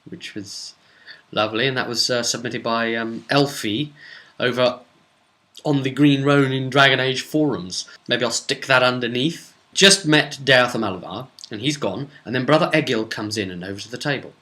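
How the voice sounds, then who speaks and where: British man, bright-voiced, chatty rambling host. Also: mid-range voice, moderate pace. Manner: rambling, chatty, acts out bits of dialogue. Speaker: host and game master. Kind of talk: casual unscripted recap of a tabletop role-playing game session.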